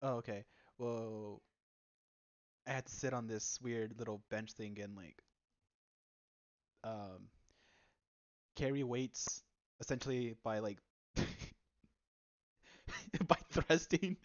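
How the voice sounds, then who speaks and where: A man's voice: fairly low pitch, slow pace, pauses often, no room sound. Young man chatting on a lo-fi mic. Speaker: podcast host. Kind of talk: casual chat and storytelling among friends.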